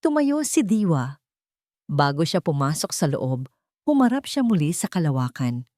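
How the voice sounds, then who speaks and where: A woman narrating a scripted sentimental love story in a measured, expressive way. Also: low-pitched, dry recording, clean studio sound.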